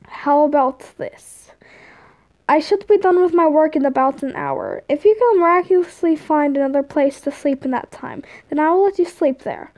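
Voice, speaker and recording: A woman's voice: high voice, normal speed, muffled recording, close to an everyday voice. A woman voicing an exasperated, teasing man looking after a boy in a story, scolding and bargaining wearily. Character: exasperated, teasing, busy male guardian of a boy.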